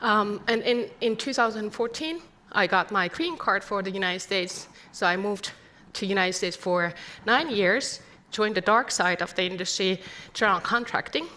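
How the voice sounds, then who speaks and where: A woman's voice: medium pitch, fairly bright tone. Finnish woman giving a conference talk. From Finland. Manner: earnest, poses rhetorical questions. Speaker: conference speaker. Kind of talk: conference presentation.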